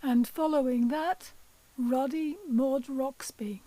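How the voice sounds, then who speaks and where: A woman presents as a podcast host, reading prepared introductions. Her voice is high and her pace is slow. The recording is dry and free of echo. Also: fairly even intonation, mellow. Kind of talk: scripted introductions.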